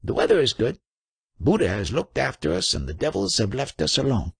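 A man's voice: medium pitch, big pitch swings, fairly quick, gravelly, slightly rough, dry recording, pitched above the performer's own voice, exaggerated voice. A man voicing a huge, boisterous and superstitious trader in a storybook, with a bellowing, effusive manner.

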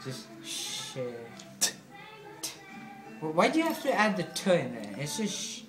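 A man's voice: on the high side, wildly swooping pitch, measured pace, reverberant, music in the background. A young man rambling and goofing around with a friend in a small bedroom. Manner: goofy, rambling, playfully defensive. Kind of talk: casual chat.

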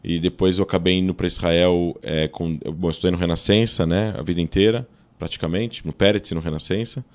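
A man in his thirties with a São Paulo Brazilian accent, a guest in a podcast interview, telling his story quickly with many fillers and restarts, speaking into a boom-arm studio mic in a small studio. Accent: Brazilian Portuguese, São Paulo. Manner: fast, animated storytelling with many fillers and self-corrections. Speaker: guest, political scientist. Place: small studio with table and monitor.

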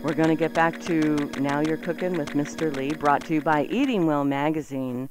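A woman co-hosting a radio show, with an animated, colloquial way of talking. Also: sits low, normal speed. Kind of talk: radio talk and sponsor reads. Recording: radio broadcast with music.

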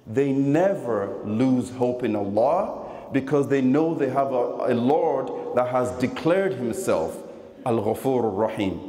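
A man delivering a Friday sermon in a deliberate, emphatic way into a stand microphone in a large mosque. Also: mid-range voice, mellow. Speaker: preacher giving a Friday sermon. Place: large mosque prayer hall, indoors. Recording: echoey hall with long reverb.

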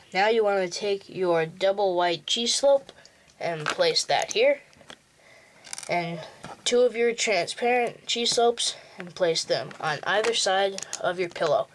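A man's voice: sits high, fairly bright tone, a little hiss or hum. A young male host giving a plain, step-by-step walkthrough for building a toy model. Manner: slow, plain instructional walkthrough. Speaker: tutorial host.

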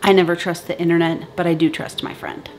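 A woman in her thirties talking to camera in her home kitchen, giving chatty, brisk canning instructions. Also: on the low side, level tone, a little hiss or hum. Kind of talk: casual how-to talk to camera. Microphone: camera at close range.

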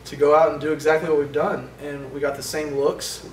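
A young man, a college quarterback at a postgame press conference, answering questions in a halting way with many fillers and restarts. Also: on the high side, level tone. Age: young adult. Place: indoor press room in front of a sponsor backdrop. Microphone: table microphones from a short distance. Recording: roomy, distant sound with faint noise.

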